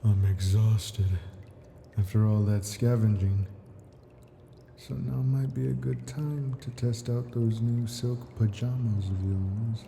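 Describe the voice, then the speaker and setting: A man playing an ancient, possessive and doting dragon in a slow, intimate ASMR audio roleplay, speaking in an unhurried, lordly, soft way. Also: on the low side, flat delivery, vocal fry, slight background noise. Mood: fond, weary. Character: ancient male dragon, possessive, doting, wounded hoarder of treasures.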